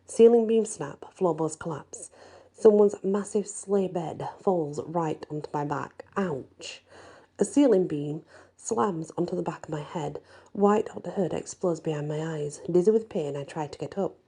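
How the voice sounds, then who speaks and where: A woman narrating a first-person fantasy story in a soft, husky, breathy ASMR style, with expressive delivery. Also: low voice, measured pace. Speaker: storyteller reading a fantasy audiobook.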